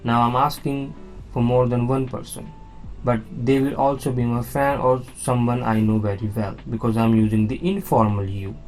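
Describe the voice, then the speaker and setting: A man's voice: medium pitch, level tone, moderate pace, a little mellow, soft background music, low-quality recording. A man teaching a beginner language lesson, explaining slides patiently and repeating words with frequent "now" and "okay?".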